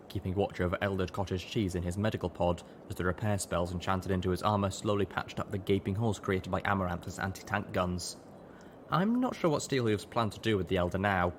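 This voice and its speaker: A man narrating a first-person story in an expressive audiobook style. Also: sits low, brisk, slight background noise. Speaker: audiobook reader.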